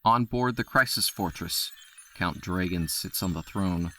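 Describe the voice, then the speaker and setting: A man narrating an audio drama in a steady storytelling voice. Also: low voice, a little slow, slight background noise.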